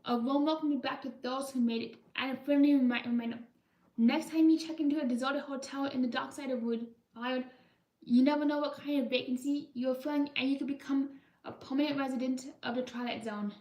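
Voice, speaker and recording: A woman's voice: high-pitched, fairly even intonation, a little fast, dark tone. A woman reciting as an ominous spooky ride announcer. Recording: roomy echo, mic far off.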